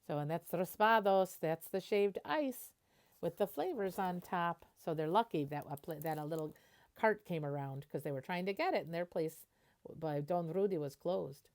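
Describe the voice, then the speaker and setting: A woman reading a children's picture book aloud in an animated way, stopping to explain and translate as she goes. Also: on the low side, normal speed.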